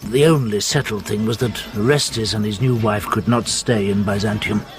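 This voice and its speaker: An actor playing a wry storyteller recounting family history in a radio drama, measured and amused over music. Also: male voice, fairly low pitch, steady tone, close to an everyday voice. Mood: wry, reminiscing. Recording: music bed under narration.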